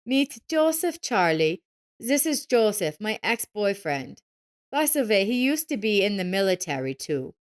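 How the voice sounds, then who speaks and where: A woman's voice: mid-range voice, big pitch swings, a little slow. A woman voicing a sneering, condescending middle-aged ex-wife with a mocking, haughty manner in an audio story.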